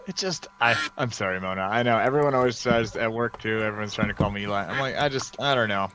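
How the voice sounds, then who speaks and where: A man hosting a hobby livestream, chatting casually in an excitable, rambling way. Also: fairly low pitch, fast talker. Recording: steady background noise.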